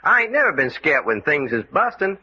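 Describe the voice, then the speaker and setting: An actor playing a folksy, scrappy American adventurer with a drawling Southern accent in an old-time radio drama. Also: male voice, on the high side, big pitch swings, fairly quick, pushed and bright, heavily acted. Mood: fired up. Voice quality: drawling country speech. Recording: dull vintage radio recording, faint noise.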